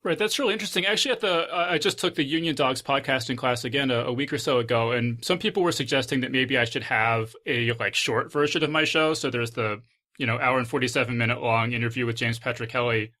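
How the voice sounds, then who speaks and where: A man hosts a casual podcast conversation at a quick clip. His voice is bright and forward, sitting at a middling pitch. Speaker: podcast host. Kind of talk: casual interview chat.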